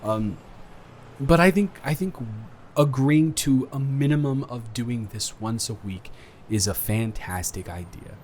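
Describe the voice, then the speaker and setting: A young man playing an earnest, awkward college student smitten with a dormmate, rambling and halting in an intimate roleplay audio drama. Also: medium pitch, darkish tone, slight background noise, natural voice. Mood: affectionate, teasing. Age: young adult.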